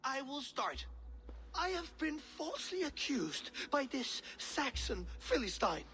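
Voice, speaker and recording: Brief: a pompous Viking-age poet, florid and slow, pitch swinging dramatically. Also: male voice, very animated, sharp tone, heavily acted. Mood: indignant, haughty. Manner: theatrical, florid, grandiose. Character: self-important, flamboyant male Norse skald, pleading innocence. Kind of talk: dramatic video game acting.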